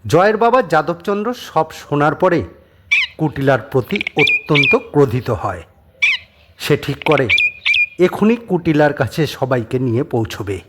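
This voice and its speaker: A man's voice: on the high side, animated, a little slow. A man narrating a scripted suspense story as a dramatic audio storyteller. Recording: clearly noisy background.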